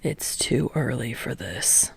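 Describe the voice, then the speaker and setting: A woman voicing a weary, deadpan male teacher who speaks in a tired, droning monotone in a story reading. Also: very deep voice, takes it slowly, vocal fry, breathy edge, no room sound, pitched below the performer's own voice, heavily acted.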